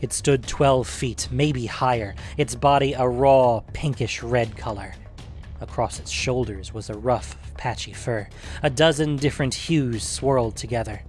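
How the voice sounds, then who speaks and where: A man narrating a scripted fantasy story in a measured, suspenseful, dramatic audiobook manner. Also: mid-range voice, soft background music.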